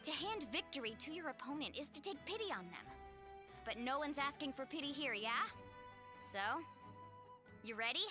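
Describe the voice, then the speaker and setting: A woman's voice: sits high, animated, moderate pace, long pauses, over music. A woman voicing a confident, kind and resolute young warrior girl in an anime-style video game.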